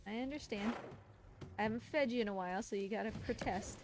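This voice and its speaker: A woman's voice: fairly high pitch, fast, noisy background. A woman giving casual, chatty live commentary on a video game speedrun, explaining things as she plays. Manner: chatty, explanatory, talks fondly to game creature. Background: game music and game sounds.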